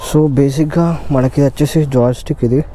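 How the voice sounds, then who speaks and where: A man's voice: medium pitch, steady tone, quick pace, loud music behind the voice. A man with a Telugu accent giving a casual step-by-step tutorial as a vlogger, mixing in English words. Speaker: vlogger presenting an installation guide. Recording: clearly noisy recording with ambient sound.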